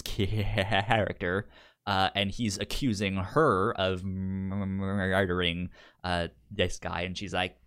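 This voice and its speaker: A man's voice: on the low side, fairly bright tone, clean tone. A man in a casual film discussion as a podcast co-host, often stammering and repeating the first sounds of words.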